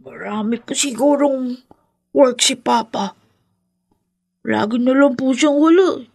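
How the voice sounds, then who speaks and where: A small, innocent child in a radio drama. The voice is high and a little creaky, with slow, simple, polite speech and a plaintive longing for an absent parent. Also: darkish tone, full character voice. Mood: wistful, waiting.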